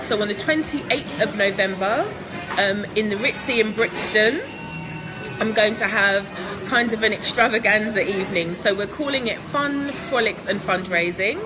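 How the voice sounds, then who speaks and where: A woman from England talks through her interview answers at an average pitch. She sounds upbeat and chatty, laughs often, and fills pauses with ums. Music plays clearly in the background. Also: rough recording. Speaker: guest entrepreneur.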